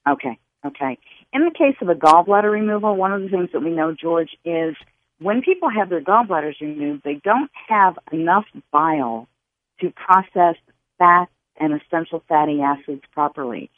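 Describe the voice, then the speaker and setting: A woman guest expert giving health advice to callers on a call-in radio show, explaining in a steady, instructive way. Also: on the low side, normal speed, a little hiss or hum. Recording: phone-line sound.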